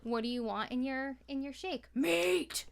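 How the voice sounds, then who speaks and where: An American woman from Maine talking in an informal, animated, slangy way as a podcaster. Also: sits high, a little slow, gravelly. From Maine, USA. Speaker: podcast guest, podcaster and voice actor. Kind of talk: casual interview chat.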